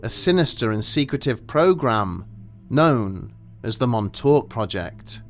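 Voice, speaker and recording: A man narrating a scripted documentary story in a measured, grave and formal manner. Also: mid-range voice, lively intonation, faint music behind.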